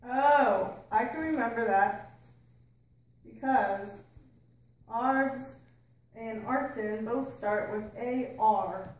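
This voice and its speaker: Woman acting as puppet patient, slightly high female voice, curious, conversational scripted dialogue, echoey room. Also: extremely slow, long pauses. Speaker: patient asking questions. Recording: roomy echo.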